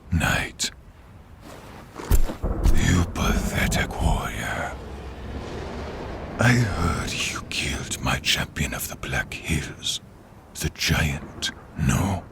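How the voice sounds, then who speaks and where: A man voicing a contemptuous, menacing undead warlord with a gravelly, breathy rasp in a scripted fantasy roleplay. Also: rumbling low, very noisy, exaggerated voice. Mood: sneering. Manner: menacing, slow, theatrical. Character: contemptuous undead male warlord with a bitter grudge against knights.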